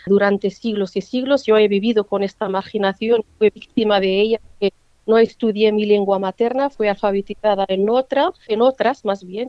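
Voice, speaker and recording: Woman, measured answers. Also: mid-range voice, no echo, lo-fi sound. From North Africa. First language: Amazigh. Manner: measured, often tags "¿no?". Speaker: author, interview guest. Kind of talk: reflective interview answers.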